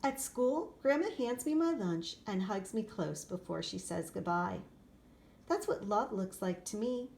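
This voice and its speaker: A woman reading a children's picture book aloud expressively, in short, deliberate phrases. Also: medium pitch, animated, a little mellow. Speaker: storybook reader. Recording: clear background noise, room ambience.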